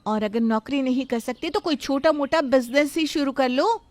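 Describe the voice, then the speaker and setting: A woman plays a young married woman in a moral story. She is practical and fed up, speaking her mind insistently in a high voice. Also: moderate pace, a little mellow, dry recording, close to an everyday voice. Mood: scolding. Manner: scolding, insistent.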